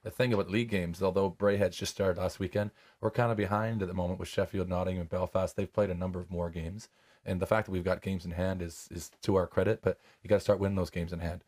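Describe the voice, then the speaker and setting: Canadian male co-host, deep, quick-talking, easygoing. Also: steady tone, darkish tone. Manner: easygoing, laughing along. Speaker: resident expert and co-host. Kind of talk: casual chat.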